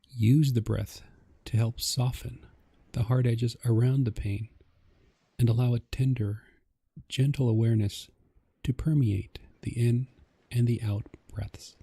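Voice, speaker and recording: A man narrating a guided meditation in a gentle, calming, measured way, with a soft voice. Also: medium pitch, husky, dry recording.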